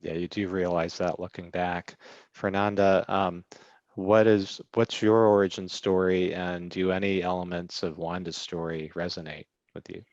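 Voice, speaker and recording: A soft-spoken, hesitant male panel moderator with a deep, flat voice. The sound is dull and muffled, with no room echo. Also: moderate pace.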